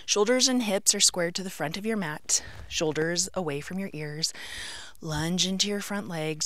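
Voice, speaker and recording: A middle-aged woman teaching a yoga class, cueing poses and breaths step by step in short, measured phrases. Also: lowish voice, a bit bright, no echo. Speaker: yoga instructor. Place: outdoors on an open rocky canyon rim.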